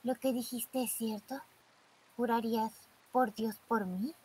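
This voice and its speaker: A woman voicing a quiet, emotionless teenage girl in a live fan dub of a game, speaking in a flat, calm, breathy way. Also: on the high side, poor audio. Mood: intent. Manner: flat, calm, slow questioning.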